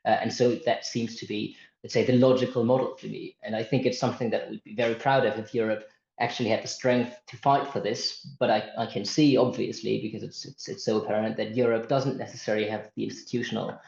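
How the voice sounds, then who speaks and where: Young man, gravelly, hesitant panelist. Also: on the low side, little pitch movement, fast talker, a little mellow. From Germany. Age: 20s. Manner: thoughtful, hesitant, many restarts and fillers. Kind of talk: panel discussion. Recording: echoey and muffled.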